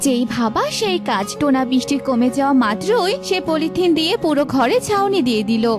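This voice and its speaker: A woman's voice: high voice, lively intonation, a little fast, over music. A woman reading scripted storytelling narration for a children's cartoon.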